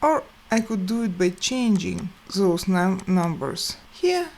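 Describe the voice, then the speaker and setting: Woman doing a software how-to, real slow and halting, bit of creak, pitch moves around a lot. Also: expressive. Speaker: tutorial host. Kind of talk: step-by-step software tutorial narration.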